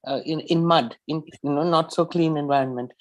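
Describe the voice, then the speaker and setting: Lowish-voiced Indian woman, halting delivery. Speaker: student in an online class. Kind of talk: casual discussion with her teacher.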